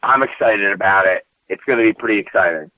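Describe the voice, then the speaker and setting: Booming high-energy man on a phone line. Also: fast talker, dry recording. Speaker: podcast co-host. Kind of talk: casual wrestling recap chat. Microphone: phone call. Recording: dry tinny phone line.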